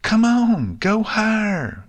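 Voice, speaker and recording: Man doing a folksy, high-pitched farm pony filly. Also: big pitch swings, moderate pace, slightly rough. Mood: cheering, shouting. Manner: folksy, reassuring.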